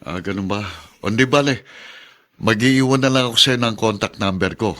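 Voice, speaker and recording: Earnest man with forceful voice. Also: lowish voice, moderate pace. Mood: polite, searching. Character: earnest, honest man stubbornly set on doing right. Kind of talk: radio drama acting.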